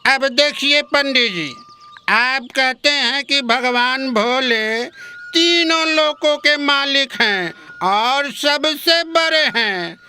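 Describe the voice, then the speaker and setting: Slow, high-pitched pestering village drunkard played by a man. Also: pushed and bright, a little hiss or hum, full character voice. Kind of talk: Hindi comic cartoon story acting.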